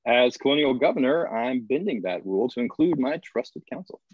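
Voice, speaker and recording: A man's voice: medium pitch, animated, fairly quick, dull audio. A man playing a cocky, irreverent colonial governor in a screenplay table read, flippant and sarcastic. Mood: brisk authority. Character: cocky, irreverent male colonial governor who banters crudely.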